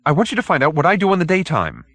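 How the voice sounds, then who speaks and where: A timid little gentleman, acted out by a male narrator in an audiobook story. He is fussy, hesitant and over-polite, speaking far up in pitch with nervous, swooping intonation. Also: huge pitch swings, very fast, dry recording, exaggerated voice. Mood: anxious, unhappy.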